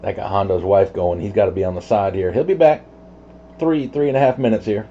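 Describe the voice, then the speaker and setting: A man's voice: fairly low pitch, fairly quick, dark tone. A man chatting casually as an operator on CB radio. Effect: CB radio transmission. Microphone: CB radio microphone. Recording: dull CB radio with clear noise.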